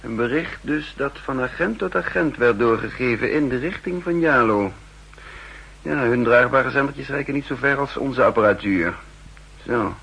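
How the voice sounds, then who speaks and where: An actor playing an older, wry and condescending spy chief who also narrates in the first person, in 1960s radio drama style. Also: male voice, medium pitch, expressive, moderate pace, creaky, fairly bright tone, husky, exaggerated voice. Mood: wry, brisk. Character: aging spy chief and cryptographer, wry, secretly scheming. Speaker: narrator and lead character. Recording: humming, noisy 1960s radio recording.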